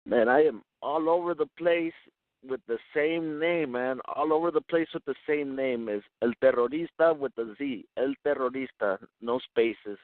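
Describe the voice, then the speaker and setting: A man's voice: medium pitch, normal speed, no echo. Young guy from mexico, native spanish, rapper chatting in slangy english, dry muffled lo-fi sound. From born in Chihuahua, Mexico; lives in West Texas. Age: 20s. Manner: slangy, talkative, frequent "man" and "you know what I'm saying". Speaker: rapper being interviewed. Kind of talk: casual interview chat.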